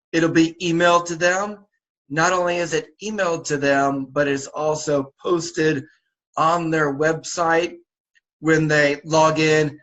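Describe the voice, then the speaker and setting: A man with a sore throat, sniffling a little, briefing volunteer youth basketball coaches over a video call in a relaxed, repetitive way with many fillers. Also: a little high, low-quality recording. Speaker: youth basketball league organizer.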